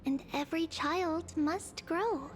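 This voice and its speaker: Serene, high-voiced oracle, slowly spoken. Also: female voice. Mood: gentle, prophetic. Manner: solemn, gentle, measured. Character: serene, cryptic priestly female oracle guide. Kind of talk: English video game voice acting. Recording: game music under the dialogue.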